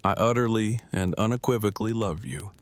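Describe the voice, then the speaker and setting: A man's voice: fairly low pitch, dry recording, natural voice. A man playing a devoted, bookish husband in an audio drama, speaking in formal, precise, wordy phrases. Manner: formal, verbose, precise, measured. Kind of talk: full-cast audio drama acting.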